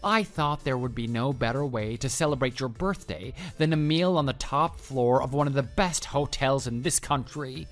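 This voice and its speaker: A man's voice: mid-range voice, moderate pace, few pauses, faint music behind, close to an everyday voice. An actor playing a deluded, pushy male office coworker in love, making florid, earnest declarations in a scripted online drama. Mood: smug adoration.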